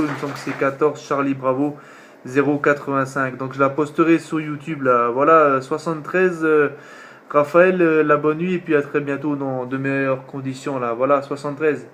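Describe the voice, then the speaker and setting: A man with a slightly high voice makes casual radio contact talk as an amateur operator from northeastern France. He repeats himself and ends phrases with little tag words. Clear noise and hiss sit behind him. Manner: repetitive, with frequent tag words ending phrases.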